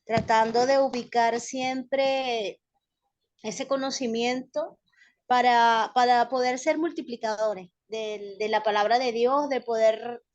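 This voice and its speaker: Dull lo-fi call audio, middle-aged woman, fairly high voice, Venezuelan accent, earnest self-introduction. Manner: earnest, grateful, devout. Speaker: student in an online Bible class. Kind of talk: personal self-introduction to a class. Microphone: online group call microphone.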